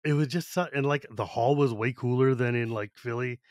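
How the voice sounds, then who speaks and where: A man's voice: mid-range voice, brisk, darkish tone, no echo, studio quality. A male podcast host telling stories in casual chat, with a chatty, enthusiastic, slangy manner. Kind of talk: casual chat, animated storytelling.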